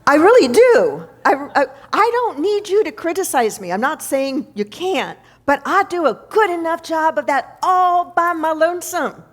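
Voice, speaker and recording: A woman minister delivering a reflective spiritual sermon, slowing down to stress key words. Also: very high-pitched, sing-song. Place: church sanctuary.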